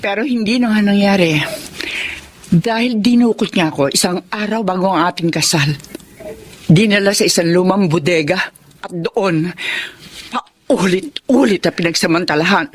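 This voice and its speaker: A woman's voice: on the low side, normal speed, long pauses, lightly acted. An actress with a Filipino accent playing a bitter, hard-hearted middle-aged mother in a melodramatic radio drama. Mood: bitter, pained.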